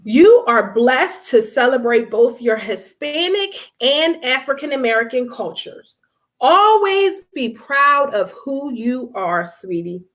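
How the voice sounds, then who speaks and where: A woman's voice: high voice, sing-song, slow, a bit bright, heavily acted. A woman voicing a loving, reassuring mother from a children's storybook, encouraging and emphatic.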